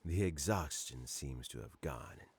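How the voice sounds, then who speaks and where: An actor voicing a weary, kindly elderly male official who speaks slowly and gently in a video game. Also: very deep voice, lively intonation, slightly rough, no room sound, full character voice. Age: older.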